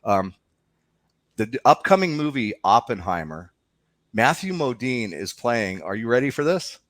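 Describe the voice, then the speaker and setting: A man's voice: mid-range voice, very animated, measured pace, fairly bright tone, dry recording. A man talking conversationally as a documentary filmmaker being interviewed.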